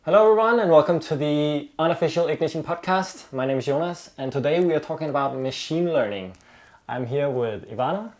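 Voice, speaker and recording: A man's voice: a little high, expressive, fairly quick, darkish tone. Casual, curious man hosting a podcast. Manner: casual and curious, asks follow-up questions. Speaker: podcast host. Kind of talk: podcast interview.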